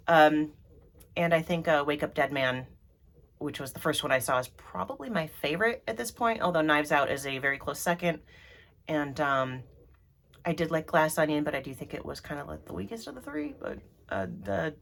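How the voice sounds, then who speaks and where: Woman, deep voice, froggy and congested, rambly with lots of ums, casual vlog. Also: expressive, normal speed, fairly bright tone, slight background noise. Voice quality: throaty, froggy. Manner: rambly, many ums and restarts. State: congested from allergies. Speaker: vlogger.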